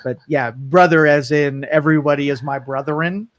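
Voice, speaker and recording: A man's voice: fairly high pitch, steady tone, moderate pace, dry recording. A man hosting a casual radio talk show, answering with short, dry, wry remarks. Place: radio studio.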